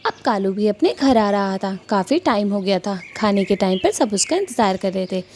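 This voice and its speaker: A woman's voice: mid-range voice, expressive, moderate pace, darkish tone. Woman narrating a cartoon, noisy recording.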